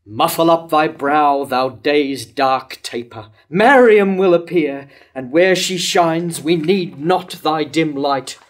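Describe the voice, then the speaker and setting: A man's voice: fairly high pitch, slow pace, hardly any pauses. A man in his sixties reading the part of a lovestruck king in a staged verse tragedy. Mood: exultant, longing. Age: 60s. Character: lovestruck king hailing his city and queen. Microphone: lectern microphone.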